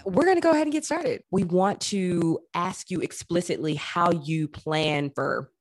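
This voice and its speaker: A woman's voice: lowish voice, animated, measured pace, no echo. A woman hosting a podcast, chatting and reading aloud in an effusive, emphatic manner. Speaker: podcast host. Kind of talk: podcast chat, reading out listener reviews and interviewing.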